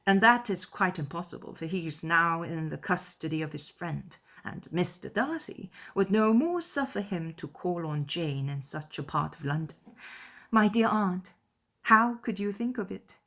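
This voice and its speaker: A woman plays a young gentlewoman from a classic novel, witty and full of spirit. Her delivery is emphatic and archly ironic, with a slightly husky edge to the voice. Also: lowish voice, lively intonation, normal speed. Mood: scornful, sure. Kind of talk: dramatized classic novel audiobook.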